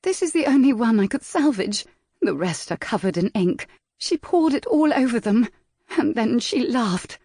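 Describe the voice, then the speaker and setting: A woman's voice: fairly high pitch, very animated, normal speed, warm tone, dry recording, clean studio sound, pitched above the performer's own voice. A woman voicing a timid, distraught young lady's companion in an audiobook, pleading and fretful.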